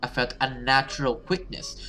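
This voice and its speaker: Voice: mid-range voice, little pitch movement, normal speed, pushed and bright. A gaming video host who reads a horror story dramatically and adds casual commentary.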